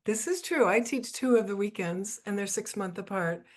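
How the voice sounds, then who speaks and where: Lady co-host, medium pitch voice, casual interview chat, teaches on the course. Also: expressive, normal speed.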